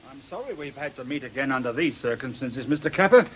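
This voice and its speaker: An actor with a British accent playing a polite, measured, probing police detective in a radio drama. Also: male voice, mid-range voice, lively intonation, slight background noise, natural voice. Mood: courteous, regretful.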